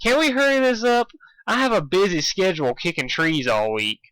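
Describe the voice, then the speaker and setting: A man's voice: sits high, lively intonation, normal speed, a bit bright, smooth, dry recording, pitched above the performer's own voice, low-quality recording. A man voicing a groggy, grumpy female country farm pony in a story reading, slurring with a folksy drawl.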